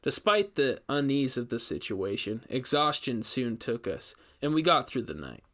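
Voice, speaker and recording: A man playing an investigator who recounts a frightening ordeal in measured, emphatic, scripted first-person narration. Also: medium pitch, very animated, fairly bright tone. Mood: grim, suspenseful. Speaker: narrator reading a story.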